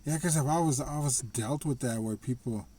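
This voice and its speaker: A man talking casually to the camera in a slightly raspy voice, rambling and restarting his sentences. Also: medium pitch, mellow. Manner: rambling, halting, with frequent restarts and laughs. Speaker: vlogger. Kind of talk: casual personal monologue.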